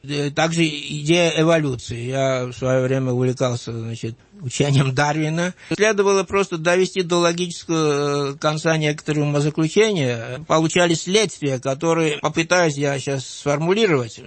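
A man in his sixties from Georgia with a light Georgian accent, a writer recalling his life in an interview, measured and thoughtful with frequent hesitant fillers. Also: a little high, dry recording. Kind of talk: reflective interview recollection.

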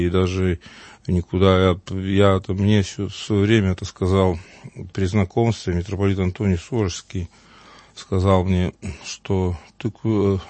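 A man's voice: sits low, warm tone, no echo. A man from Uglich, an Orthodox priest, telling earnest, rambling anecdotes in conversation. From Uglich, Russia. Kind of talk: conversational storytelling.

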